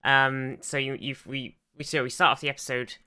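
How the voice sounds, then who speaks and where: A man's voice: mid-range voice, little pitch movement, fairly quick, pushed and bright, smooth. A man co-hosting a podcast in casual chat, rambling and circling back over his words with frequent restarts. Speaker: podcast host.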